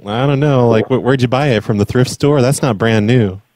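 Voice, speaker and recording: A man making a prank phone call as an earnest caller, talking in a hesitant, rambling, deadpan way. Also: mid-range voice, a little fast, dry recording. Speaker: prank caller and podcast host.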